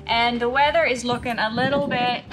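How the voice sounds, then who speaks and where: A woman's voice: fairly high pitch, fairly even intonation, a little fast, loud music behind the voice. A middle-aged woman chatting casually to a handheld camera outdoors as a travel vlogger. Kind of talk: casual vlog chat. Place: outdoors on boat, paths and open beach.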